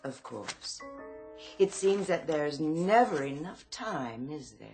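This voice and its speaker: An actress playing an eerily courteous, knowing saleswoman in a radio drama, with measured, genteel, insinuating sales patter. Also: sits low, animated, a little mellow, faint music behind, heavily acted. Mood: coaxing, knowing.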